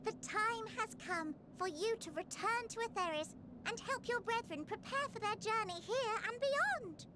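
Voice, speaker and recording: An actor voicing a small, eager and earnest rabbit-like creature in a video game, excitable and pleading in manner. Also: male voice, very high-pitched, moderate pace, hardly any pauses, background noise. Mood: urging. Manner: excitable, pleading, flowery British phrasing. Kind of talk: video game cutscene acting.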